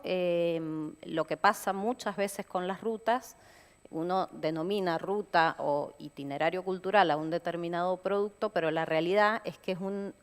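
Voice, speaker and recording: A woman answering on a conference panel, thoughtful and hesitant, with frequent fillers and tag questions. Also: on the low side. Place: conference hall. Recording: long hall reverb.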